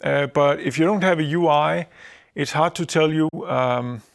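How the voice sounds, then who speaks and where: A man giving an informal software product update in a halting, explanatory way. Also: fairly high pitch, gravelly.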